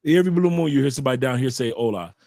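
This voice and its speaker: Male guest, conversational and lively, loops back over his points; mid pitch, dry close sound. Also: very fast, vocal fry, no echo. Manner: animated storyteller, repeats phrases, frequent restarts. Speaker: guest, YouTube channel creator and author. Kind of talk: casual conversational interview. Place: home in Colombia.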